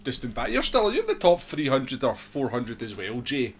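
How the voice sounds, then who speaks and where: A man's voice: medium pitch, wildly swooping pitch, moderate pace, fairly bright tone, slight background noise. A man hosting a gaming livestream, chatting casually and replying to viewers as he goes. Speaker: livestream host. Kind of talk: casual gaming stream chat.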